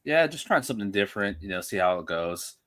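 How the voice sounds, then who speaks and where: Easygoing man with a bright voice. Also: lowish voice, big pitch swings, fast. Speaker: livestream co-host. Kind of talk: casual livestream chat.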